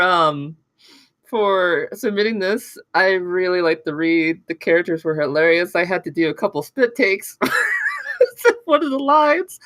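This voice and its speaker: Playful female reviewer, expressive and giggly, casual, dry close sound. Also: medium pitch, no echo. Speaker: script reader giving feedback. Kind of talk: casual chat.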